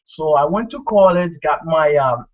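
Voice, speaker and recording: A man's voice: fairly high pitch, animated, normal speed. Upbeat Zambian-accented man, lo-fi call. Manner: upbeat, motivational, repeats himself. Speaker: host. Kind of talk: casual online group call. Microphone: computer mic on a video call.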